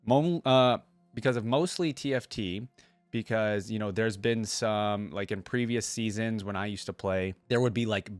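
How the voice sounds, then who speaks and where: Low-voiced man, casual reaction host, dry sound. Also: no echo. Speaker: host of a game reaction video.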